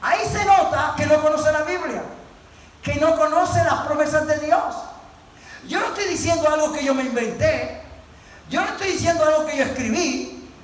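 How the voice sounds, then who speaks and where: High-pitched male preacher in an echoing space. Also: moderate pace. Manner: exhortative, emphatic, repeats words and phrases for effect. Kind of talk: impassioned Spanish-language sermon. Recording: very echoey room, distant mic, faint noise.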